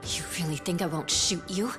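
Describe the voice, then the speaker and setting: A woman's voice: lowish voice, brisk, lightly acted. An actress playing a cold, calculating, determined woman in a video game cutscene, controlled and cutting. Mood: cold threat. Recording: music under the voice.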